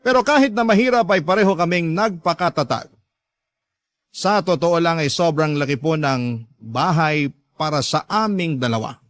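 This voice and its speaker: A Filipino man hosts a radio story program, reading a letter with earnest, sentimental drama. His voice is a little high for a man and sounds close and dry. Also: no echo.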